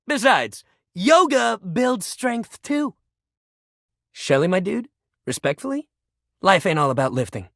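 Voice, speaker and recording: Game role: an upbeat gym-bro coach, very animated, supportive, dry close sound. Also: male voice, high voice, unhurried, vocal fry, pauses often, no echo, full character voice. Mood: mildly exasperated. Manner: peppy, encouraging, casual bro slang. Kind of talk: video game character voice lines.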